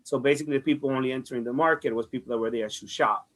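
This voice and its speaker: Male market manager, Dominican accent, earnest interviewee, mid-pitched voice, conversational delivery. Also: racing, a bit bright. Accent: Dominican Spanish. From Dominican Republic. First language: Spanish. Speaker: farmers market director being interviewed.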